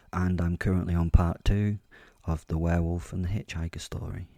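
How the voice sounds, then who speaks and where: Deep, mellow male horror storyteller. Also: no echo, studio quality. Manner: wry, confiding, rambling asides. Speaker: narrator and host of a fiction podcast. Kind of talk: first-person horror drama narration, told in character.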